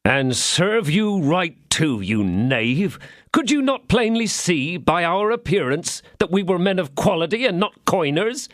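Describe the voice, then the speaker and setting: A bold young swordsman gives commands with easy assurance, as a man puts him on. The voice is lively and a touch high, recorded dry. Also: unhurried, no room sound, exaggerated voice. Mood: bold, commanding.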